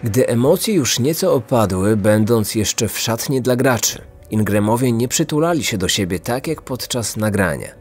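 A man narrating a scripted true-crime podcast as its host, measured and serious. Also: mid-range voice, animated, slightly rough, soft background music.

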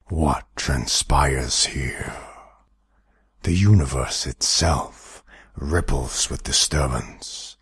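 Very deep, solemn cosmic spirit. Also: male voice, fairly bright tone, breathy edge, no echo, studio quality, heavily acted. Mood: grave, ominous. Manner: slow, portentous. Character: solemn, all-knowing ghostly cosmic spirit.

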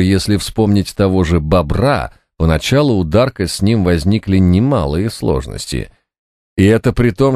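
A man narrating a fantasy novel as an audiobook, with an expressive storytelling delivery. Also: lowish voice, no echo, studio quality. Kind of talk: scripted fiction narration. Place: dry recording studio.

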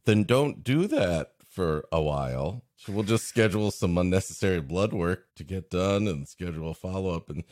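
A man with a fairly low voice, recorded dry and close. He co-hosts a podcast in a joking, riffing style of comic banter. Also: animated, normal speed, hardly any pauses, a little mellow, no room sound. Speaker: podcast co-host.

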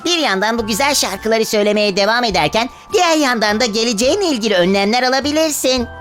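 A woman voicing a kind, wise ant in a children's animated fairy tale, speaking in an earnest, reassuring way. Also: a little high, quick pace, close to an everyday voice. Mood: gently advising. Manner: earnest, reassuring, gently instructive. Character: kind, caring, wise ant giving friendly advice.

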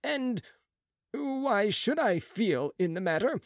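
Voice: mid-range voice, very animated, takes it slowly, dry recording, studio quality, pitched above the performer's own voice. A reader voicing a proud, sneering young male surgeon who talks loftily in an audiobook. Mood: lofty. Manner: boastful, lofty, sharp retorts. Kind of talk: audiobook character reading.

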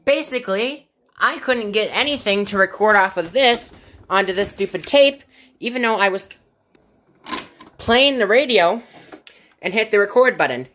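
A woman's voice: medium pitch, lively intonation, normal speed, long pauses, fairly bright tone, slight background noise. A woman talking informally and with exasperation in a rambling hands-on vlog, with some mild swearing. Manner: informal, exasperated, mildly profane. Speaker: video maker. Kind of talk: casual rambling vlog, narrating a hands-on product test. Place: indoors in a home kitchen area.

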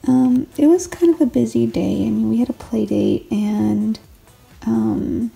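Woman in her twenties, raspy, gravelly, dark-toned, rambling planner chat. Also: on the high side, unhurried, soft background music. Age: 20s. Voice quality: raspy, late-night voice. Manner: rambling, self-correcting, soft. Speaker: planner vlogger, stay-at-home mom. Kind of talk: casual late-night planner walkthrough. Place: home desk, filmed late at night. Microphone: overhead camera on the desk.

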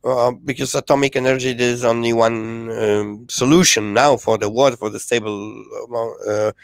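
Polish-accented man in his thirties, video call guest, earnest and halting, dry close sound. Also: mid-range voice, fairly even intonation, no echo. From Poland. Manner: earnest, halting with many fillers and restarts. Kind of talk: casual political conversation. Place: small home room, indoors. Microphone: headset on a video call.

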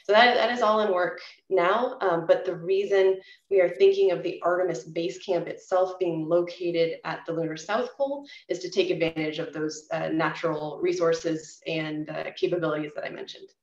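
Woman in her 30s on a video call, explains slowly with lots of ums, kinda muffled roomy audio. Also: fairly low pitch, fairly even intonation. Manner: measured, explanatory, with frequent "um" pauses. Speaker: guest speaker answering questions. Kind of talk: public lecture Q&A over video call. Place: home office, indoors. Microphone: webcam at desk on video call.

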